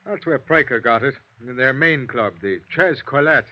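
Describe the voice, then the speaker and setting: An actor playing a chatty, world-weary homicide lieutenant in a 1950s radio drama, talking in a folksy, grumbling, familiar way. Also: male voice, medium pitch, animated, moderate pace, lightly acted. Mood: matter-of-fact. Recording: dull, noisy vintage radio recording.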